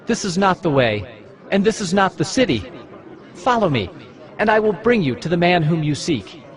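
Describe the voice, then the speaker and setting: A man's voice: high-pitched, big pitch swings, normal speed, some chatter behind. A man playing a commanding, fervent prophet with solemn, declamatory delivery in a dramatized Bible reading. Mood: assured. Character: commanding, fervent holy prophet of ancient Israel. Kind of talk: dramatized Bible audio acting.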